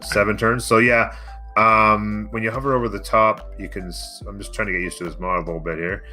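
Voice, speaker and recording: A man's voice: lowish voice, fairly even intonation, fairly quick, pushed and bright, faint music behind. A man co-casting a strategy game in a casual style, thinking aloud as he explains. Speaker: co-caster of a strategy game match. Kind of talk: casual live game commentary.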